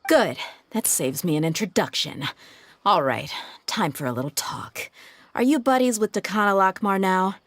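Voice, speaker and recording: A woman voicing a tough, confident, blunt female mercenary in a video game, with a brisk, cocky manner. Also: medium pitch, a bit bright, no room sound, lightly acted. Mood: menacing, teasing. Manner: brisk, cocky, casually menacing.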